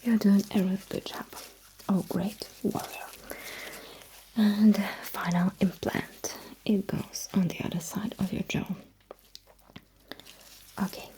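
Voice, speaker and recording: A woman whispering breathily in an ASMR roleplay as a sci-fi technician, giving soft, reassuring instructions close to the microphone. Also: medium pitch, fairly even intonation, takes it slowly, long pauses, poor audio.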